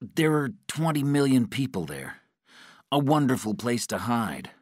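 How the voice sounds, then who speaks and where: Man acting out frightened captive, voice pitched up. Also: sing-song, unhurried, darkish tone, no echo, clean studio sound. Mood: anxious, cooperative. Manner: pleading, frightened. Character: terrified male captive pleading under torture. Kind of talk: audiobook character dialogue.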